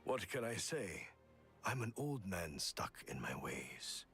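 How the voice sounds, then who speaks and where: An actor playing a calm, courteous old martial artist in a video game, speaking in a formal, measured way. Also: male voice, deep voice, gravelly, soft background music, heavily acted. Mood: wry, polite. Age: older. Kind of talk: scripted game voice acting.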